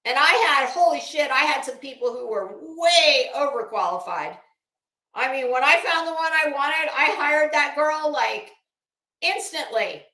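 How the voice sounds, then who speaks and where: A woman's voice: high-pitched, animated, measured pace, pushed and bright, roomy sound, poor audio. A woman doing casual livestream commentary as host, blunt, salty and emphatic, with a disdainful edge.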